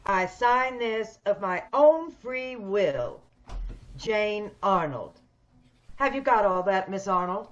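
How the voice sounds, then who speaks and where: A woman playing a sinister, hideous schemer in an old-time radio horror play, speaking with measured, coolly polite menace. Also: medium pitch, expressive. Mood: controlled, calculating. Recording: dull video-call radio play recording.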